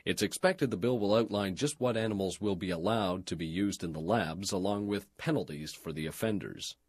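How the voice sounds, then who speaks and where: Deep, crisp-voiced male radio newsreader. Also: dry recording, clean studio sound. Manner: formal, measured broadcast delivery. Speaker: radio news and sports announcer. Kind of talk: scripted radio news bulletin reading.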